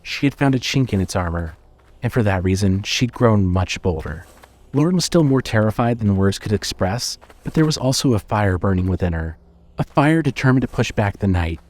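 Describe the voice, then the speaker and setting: A man's voice: on the low side, animated, normal speed, darkish tone. A man narrating a scripted horror story as the narrator of an audio drama.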